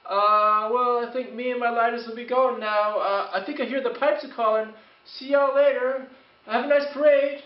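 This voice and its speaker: Man putting on a high-pitched, blustering Irish rival. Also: fairly even intonation, normal speed. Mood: sheepish bluster. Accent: put-on Irish brogue. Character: blustering, boastful Irish rival brawler named Danny. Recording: echoey room, distant mic, muffled.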